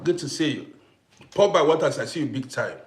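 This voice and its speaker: Middle-aged Congolese-born man, live-stream host, emphatic, fairly high voice, roomy echo. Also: lively intonation, brisk. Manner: emphatic, repetitive, greets viewers by name. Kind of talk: casual live-stream talk.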